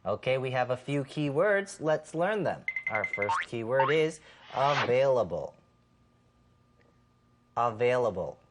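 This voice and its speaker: A woman's voice: very deep voice, expressive, slow, long pauses, heavy background noise. A woman co-hosting an English lesson, explaining simply from a script with careful, emphatic enunciation. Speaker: co-host of an English lesson show.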